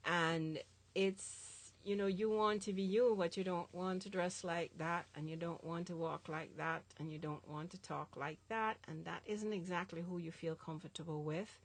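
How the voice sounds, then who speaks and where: A woman art teacher with a Jamaican American accent, chatting as a guest in an animated way with lots of filler ums. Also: fairly low pitch, normal speed, few pauses. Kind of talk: casual conversation.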